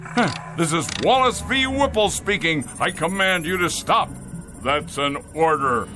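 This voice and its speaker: Imperious ousted male executive, wounded pride, animated pitch, classic sci-fi drama, music behind. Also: unhurried. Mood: imperious, frantic. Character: pompous, bitter ousted company boss, neurotic and wounded. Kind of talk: classic science-fiction radio drama acting.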